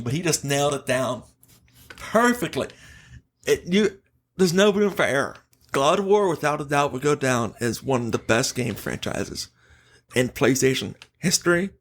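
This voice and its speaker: A man hosting a podcast, chatting casually and giving opinions in an animated, emphatic way. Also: on the high side. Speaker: podcast host.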